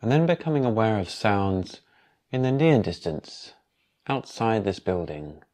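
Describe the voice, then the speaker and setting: Male meditation guide, lowish voice, calm and unhurried, leaving long pauses between instructions. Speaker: yoga nidra meditation guide.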